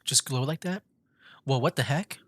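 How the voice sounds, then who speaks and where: A man's voice: medium pitch, moderate pace, a bit bright, slight background noise. A man giving casual commentary as he streams a video game. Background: game music.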